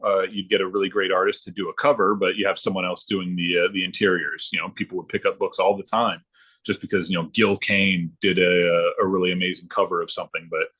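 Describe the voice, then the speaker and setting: A middle-aged man with a deep voice talks casually as a guest. He reminisces in an informal, halting way, often feeling for the next word as he thinks aloud. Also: pushed and bright. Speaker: guest, former comics production worker turned librarian.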